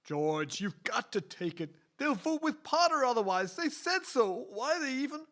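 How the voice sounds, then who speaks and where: An excitable small-town board member in an old-time radio drama. His voice is high-pitched, with an urgent, pleading delivery. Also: moderate pace, full character voice.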